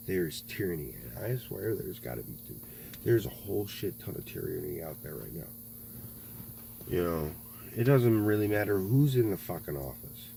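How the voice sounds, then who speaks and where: A man in his thirties in a rambling political monologue, halting, full of fillers and frequent swearing. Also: lowish voice, creaky, darkish tone, rough recording. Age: 30s. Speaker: video blogger. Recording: faint mains hum.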